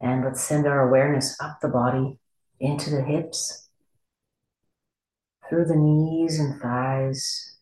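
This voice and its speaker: A meditation teacher leading a body scan in a gentle, unhurried way, leaving long pauses between instructions. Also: mid-range voice, flat delivery. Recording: echoey room.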